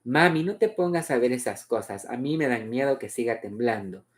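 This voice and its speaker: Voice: medium pitch, animated, moderate pace, natural voice. A story reader voicing a frightened young son, fearful and pleading. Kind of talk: storybook read-aloud dialogue.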